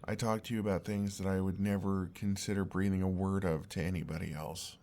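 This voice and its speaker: A young man playing an exasperated, earnest and oblivious best friend in an intimate audio roleplay, speaking in a halting, conversational way. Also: low-pitched, little pitch movement, warm tone. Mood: earnest, pleading.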